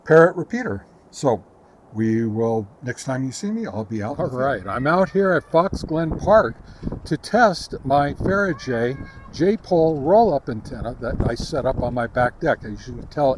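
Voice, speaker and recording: A man hosting a casual on-location gear test, talking to the camera in a halting, conversational way. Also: mid-range voice, noisy background. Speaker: radio hobbyist reviewer and host. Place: outdoors, on a back deck and in a park.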